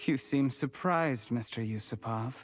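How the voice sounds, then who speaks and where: An actor playing a calm, ambitious male official who coldly lays out his murder plan, in a video game. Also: mid-range voice, a little slow, soft background music, natural voice. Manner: calm, calculating, cold. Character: cold, ambitious male official turned poisoner.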